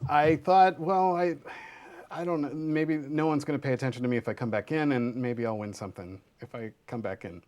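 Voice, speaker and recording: Male host, mid pitch, halting and full of fillers, awkward dry delivery. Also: steady tone, darkish tone. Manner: hesitant, halting, many uh and um. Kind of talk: casual talk show hosting.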